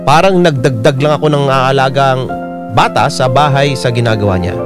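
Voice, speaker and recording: A man's voice: medium pitch, moderate pace, close to an everyday voice. An actor playing a troubled husband, narrating his marriage story with a Filipino accent in an earnest, confiding radio drama style. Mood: frustrated, confiding. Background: piano and keyboard music.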